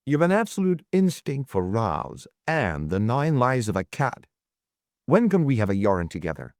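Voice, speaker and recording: A man's voice: medium pitch, big pitch swings, normal speed, a little mellow, no echo, clean studio sound. A man voicing a hearty, jovial old friend with a ribbing manner. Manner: chuckling, ribbing.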